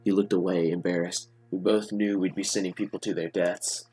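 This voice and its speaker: A man's voice: low-pitched, fairly even intonation, a little fast, warm tone. A man reading a first-person story aloud as narrator, occasionally stumbling over words, with music underneath.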